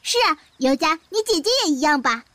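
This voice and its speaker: A woman's voice: sits high, animated, a little fast, pushed and bright, dry recording. A woman playing a chatty schoolgirl of about ten in a radio drama. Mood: curious, unsure. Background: music.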